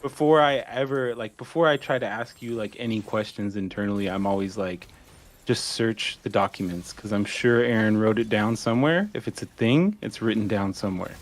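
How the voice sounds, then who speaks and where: A man moderating an online town hall, relaxed and joking, with lots of casual fillers. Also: on the low side, brisk.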